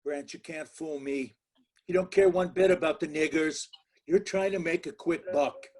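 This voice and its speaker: A man's voice: fairly high pitch, fairly even intonation, moderate pace, a little mellow, close to an everyday voice. A man playing an indignant, pushy baseball club owner in a dramatic script reading.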